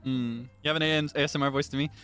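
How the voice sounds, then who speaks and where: A young man with an ordinary mid-range voice talks casually to his viewers on a stream. He laughs often and pokes fun at himself. Soft music plays faintly underneath. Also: mid-range voice, fairly even intonation, fast talker, clear, resonant tone. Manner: chatty and self-deprecating, laughs often. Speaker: virtual streamer. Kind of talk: casual chat answering viewer comments.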